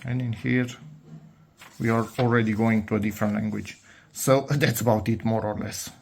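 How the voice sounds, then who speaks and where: A man's voice: lowish voice, steady tone, normal speed, gravelly, a little mellow, a little hiss or hum. A man talking informally and a little hesitantly through a hands-on DIY demonstration, thinking aloud as he goes. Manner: informal, hesitant, self-narrating. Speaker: host of a DIY electronics channel.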